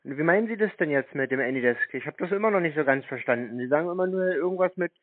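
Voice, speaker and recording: A man's voice: fairly high pitch, expressive, fast, dry recording. A man in a casual phone conversation, giving plain short replies and questions through a narrow phone-like sound. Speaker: scam baiter posing as customer.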